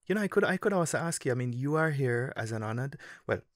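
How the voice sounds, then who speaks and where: A man hosting his own podcast, talking in a relaxed conversational way with lively emphatic rises. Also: fast, no room sound, clean studio sound. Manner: animated, rising to high emphatic tones. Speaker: podcast host.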